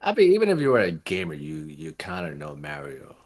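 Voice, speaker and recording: A man with a deep, low-pitched voice talks casually as a co-host on a gaming show. He weighs in conversationally, as part of a relaxed group discussion. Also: a little fast.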